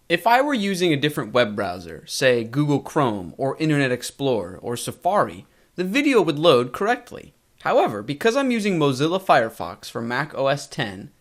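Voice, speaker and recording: Casting: male instructor, mid-pitched with animated intonation, measured scripted lesson read, clear enunciation. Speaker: web design course instructor. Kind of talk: scripted tutorial narration.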